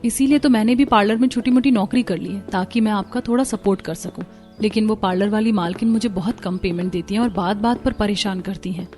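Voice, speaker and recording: A woman's voice: mid-range voice, quick pace, darkish tone, soft background music, close to an everyday voice. A woman voicing a dutiful, earnest young daughter-in-law in a cartoon story, polite and deferential. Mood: concerned. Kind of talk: Hindi cartoon story voice acting.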